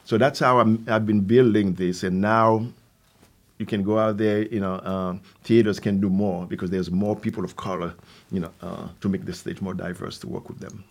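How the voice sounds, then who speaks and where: A man in his sixties with a Haitian accent, answering interview questions conversationally in a halting way with repeated words and asides. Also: on the low side, fairly even intonation. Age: 60s. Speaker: theater company founder, guest.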